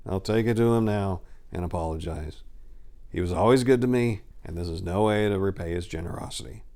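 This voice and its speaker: A man's voice: deep voice, fairly quick, dark tone. A man voicing a proud, lovestruck and hot-headed warlord prince in a dramatic sword-and-sorcery reading, declaiming with obsessive intensity. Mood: resolute.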